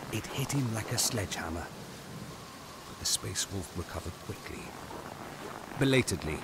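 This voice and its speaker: A man narrating a scripted audio drama in a grave, deliberate, dramatic way. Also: medium pitch, background noise.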